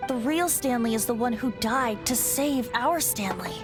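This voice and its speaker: A light, high-pitched young bard lad from a video game, voiced by a woman. He speaks in an airy, musing, playfully theatrical way, with music clearly behind him. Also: lively intonation, moderate pace, close to an everyday voice. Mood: solemn. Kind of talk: video game character acting.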